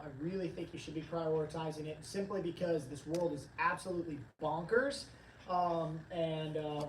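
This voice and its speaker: A hoarse man hosting a casual livestream, upbeat and rambling as he reads chat comments aloud. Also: a little high, a little mellow, a little hiss or hum. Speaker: livestream host, training company staff. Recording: roomy, distant from the mic.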